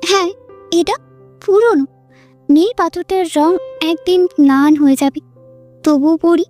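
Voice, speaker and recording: A woman playing a wistful young woman in love in an audio drama, speaking in a soft, poetic, restrained way. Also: very high voice, measured pace, faint music behind. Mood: gently playful. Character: wistful young woman, nameless coffee-shop companion in love.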